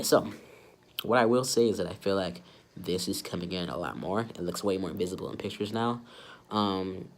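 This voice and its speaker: A young adult man with a congested, stuffy-nosed sound from a cold, giving a casual, low-key update to camera. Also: a little hiss or hum. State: sick with a stuffy nose. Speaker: vlogger.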